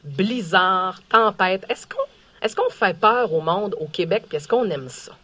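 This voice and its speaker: A woman's voice: medium pitch, expressive, a little slow, bright, forceful tone. A woman with a Quebec French accent hosting a radio show, chatting and interviewing in an informal, talkative way. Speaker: radio host. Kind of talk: casual radio chat interview.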